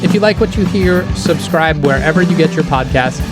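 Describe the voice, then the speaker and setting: A man's voice: fairly high pitch, brisk, loud music behind the voice. A man hosting a podcast, reading a casual sign-off to listeners. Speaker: podcast host.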